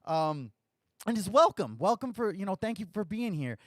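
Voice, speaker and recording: A man's voice: high voice, sing-song, normal speed, no room sound, clean studio sound. A man hosting a recovery podcast on a live stream, talking casually and rambling a little, his voice slightly scratchy from lack of sleep. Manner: rambling, chatty, self-deprecating jokes. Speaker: podcast host.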